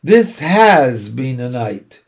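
A man voicing a gluttonous, sneering storybook rat with a hoarse, husky voice and a drawn-out, relishing delivery. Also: mid-range voice, very animated, full character voice. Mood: smug surprise. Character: gluttonous, sneering old male barn rat.

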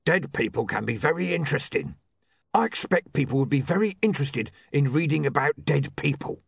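Audiobook zombie man acting: deliberate, emphatic, earnest, pompous about himself, dry close sound. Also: fairly high pitch, animated, creaky, husky, no echo, voice pushed up, full character voice. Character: male zombie, earnest, insistent, self-important.

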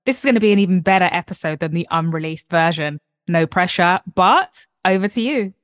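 A woman's voice: on the low side, expressive, fairly quick, no echo, clean studio sound. A woman hosting a podcast interview, upbeat and playful, reading her segment intros with ease. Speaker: podcast host.

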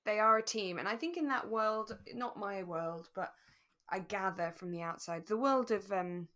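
A woman co-hosting a podcast in relaxed discussion, explaining things thoughtfully and with emphasis. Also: mid-range voice, normal speed. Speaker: podcast co-host. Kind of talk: relaxed chat reviewing a radio drama.

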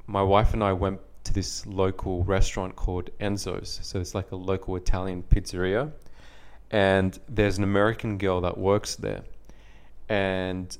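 A man's voice: low voice, clear, resonant tone. Easygoing man co-hosting a podcast. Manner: friendly, reflective, easygoing storytelling. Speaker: podcast co-host. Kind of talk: casual podcast chat.

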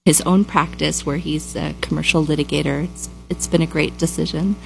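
A woman who was born in Kenya and grew up in Boston, answering interview questions as a guest in a gracious, warm way. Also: deep voice, fairly even intonation, normal speed, gravelly, soft background music, rough recording. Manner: warm, gracious, laughs easily.

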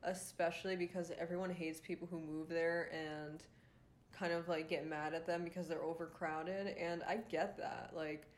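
A woman's voice: low-pitched, level tone, moderate pace. A young woman from Rhode Island hosting her own podcast, ranting casually with a nasal, congested voice, full of fillers and swearing. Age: young adult. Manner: frustrated, swears often, many fillers. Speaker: podcast host.

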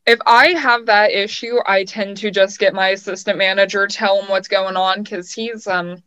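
A young woman with a bright, sharp voice talks frankly and a little exasperated. The recording is dull and lo-fi, and there's no room echo at all. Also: medium pitch, steady tone, normal speed, clean tone. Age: young adult. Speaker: haunted house general manager. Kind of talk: casual interview chat.

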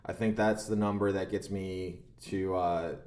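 A man co-hosting a podcast in casual chat, laid-back and a little halting, recorded in a roomy, echoey space. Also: low-pitched, steady tone, a little mellow. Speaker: podcast co-host. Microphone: own podcast microphone.

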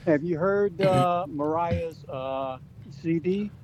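Dull phone line w/ faint hiss, older guy calling in, slow and fairly high mellow voice. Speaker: call-in guest. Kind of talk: casual phone conversation.

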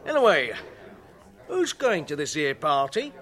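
A man's voice: a little high, sing-song, measured pace, a bit bright. A man playing a nosy, sceptical hobbit villager in a radio drama, with a rural English accent and a scoffing, grumbling manner. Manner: scoffing, pointed.

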